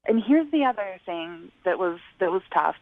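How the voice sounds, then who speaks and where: A woman's voice: fairly low pitch, expressive, normal speed, no room sound. Woman calling into radio, careful hedgy way of talking, dry dull phone sound. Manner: hesitant, tactful, hedging. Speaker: phone-in guest on a radio segment. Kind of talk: candid conversational recounting. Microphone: phone call. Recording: muffled, band-limited phone line.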